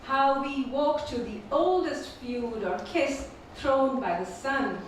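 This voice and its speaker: A woman whose first language is Urdu, reading her poems slowly and repeating each first line at a live poetry event. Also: high-pitched, a little hiss or hum. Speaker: featured poet. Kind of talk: poetry reading with spoken introductions. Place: performing arts venue. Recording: echoey hall from far off.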